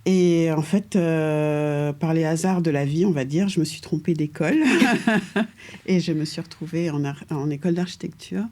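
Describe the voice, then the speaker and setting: A middle-aged woman who grew up in Paris, talking as a guest in a relaxed interview, often pausing on fillers and restarting her sentences. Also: sits low. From France, raised in Paris from age two. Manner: hesitant, many fillers and restarts, laughs lightly. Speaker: guest architect. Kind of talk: casual conversational interview.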